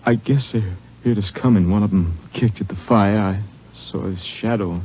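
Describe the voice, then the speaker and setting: An actor in an old radio drama playing a gravely wounded deputy, a grieving father, who talks haltingly and pleads. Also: lowish voice, slight background noise, natural voice. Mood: pained, halting. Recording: dull vintage radio recording.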